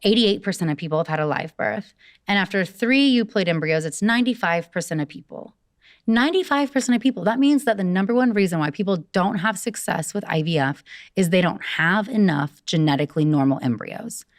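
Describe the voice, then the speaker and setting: A woman fertility doctor explaining clearly in an interview, walking through the numbers step by step. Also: lowish voice, animated, brisk, no room sound, studio quality.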